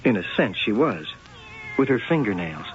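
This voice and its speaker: An actor playing a direct, matter-of-fact police lieutenant in a 1980 radio drama. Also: male voice, on the low side, very animated, normal speed. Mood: stern, businesslike. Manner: businesslike, direct. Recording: dull noisy old radio broadcast.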